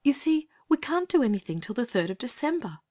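Storybook little girl, English, adult female performer: high, animated, kindly, earnest and persuasive. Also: fairly quick, no room sound, studio quality. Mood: concerned. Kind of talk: dramatized children's storybook reading.

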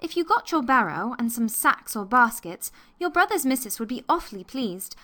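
A woman's voice: fairly high pitch, sing-song, normal speed, bright, forceful tone, pitched above the performer's own voice. A woman voicing an earnest, polite young girl who talks eagerly.

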